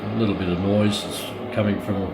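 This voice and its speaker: A man giving a casual, unscripted product review as a hobbyist, hesitant and often pausing mid-sentence, with radio audio playing behind him. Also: lowish voice, fairly even intonation, vocal fry, reverberant, heavy background noise.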